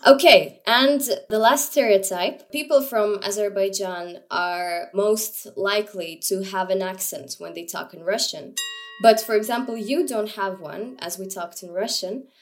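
A woman's voice: mid-range voice, a little slow. A young woman interviewing a guest, asking curious, playful questions in a casual chat.

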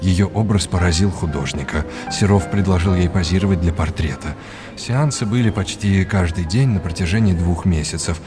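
Guy acting as an art expert in an audio play, low voice, polite and teachery, music under it. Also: moderate pace, lightly acted. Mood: engaged, explanatory. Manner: explanatory, quizzing like a teacher. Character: erudite male art historian, courteous expert guide. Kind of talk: audio drama dialogue.

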